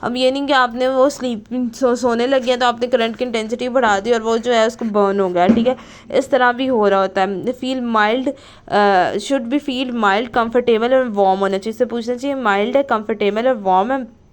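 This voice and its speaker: A woman with a somewhat high-pitched voice gives an informal lecture. She reads from notes, then explains them in a mix of two languages. She often drops in short tags to check that listeners follow. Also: steady tone, normal speed, clear, resonant tone. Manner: frequent check-in tags, switches between Hindi and English. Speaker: physiotherapy lecturer.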